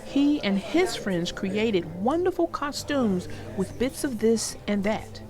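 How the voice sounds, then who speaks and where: A woman narrating a read-aloud story for young children with deliberate, emphatic phrasing. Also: a little high, big pitch swings, music in the background.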